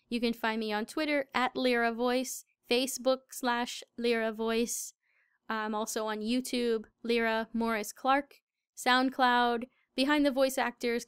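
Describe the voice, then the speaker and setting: A woman's voice: fairly high pitch, smooth, no echo, clean studio sound. A very young-sounding woman with an American accent, answering interview questions as a voice actor. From Southern United States.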